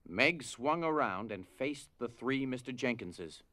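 A man's voice: mid-range voice, animated, a little slow, pushed and bright, dry recording. A man narrating a scripted dramatic story. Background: music.

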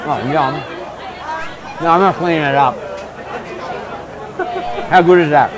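Excited aussie guy in his 60s, street market vlog, lots of crowd noise behind. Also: on the high side, unhurried. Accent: Australian. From Australia. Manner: enthusiastic, effusive. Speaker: vlog host. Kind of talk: casual on-the-street chat. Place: busy outdoor night market. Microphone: lapel mic. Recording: loud crowd around, outdoors. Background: crowd chatter.

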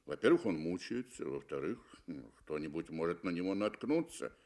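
An actor playing a composed professional safari hunter in a radio play, terse, matter-of-fact and commanding. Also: male voice, deep voice, sing-song, normal speed, a little mellow, pitched below the performer's own voice.

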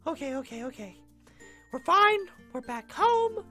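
An animatronic ballerina with an extremely high, bright voice. She is whiny, excitable and flustered, drawing her words out at an unhurried pace in a Minecraft roleplay video. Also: faint music behind, full character voice. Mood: chatty. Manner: exclamatory, dramatic, flustered. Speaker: video channel character host.

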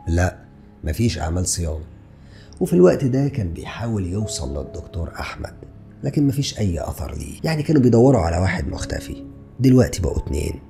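A man's voice: sits low, creaky, warm tone. A man in his thirties with an Egyptian Arabic accent, narrating a true crime story and asking the listener rhetorical questions. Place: small dark studio indoors. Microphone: podcast mic on stand in front.

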